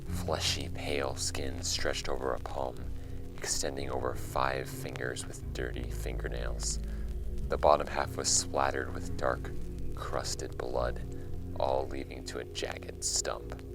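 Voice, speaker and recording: An actor playing a case archivist who narrates eerie witness files in a measured, hushed, deliberate horror audio drama style. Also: male voice, very deep voice, level tone, full character voice, poor audio. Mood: hushed dread. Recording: music under the voice. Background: music.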